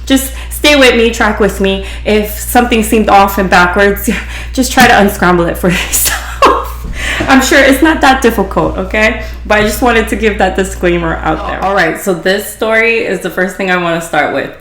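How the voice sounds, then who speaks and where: A woman's voice: mid-range voice, normal speed, a bit bright, reverberant, faint music behind. A woman from Hawaii with a Pidgin-influenced accent, hosting a podcast and telling a story in a chatty, rambling way full of restarts and "you know". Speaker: podcast host, beautician. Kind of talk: casual storytelling.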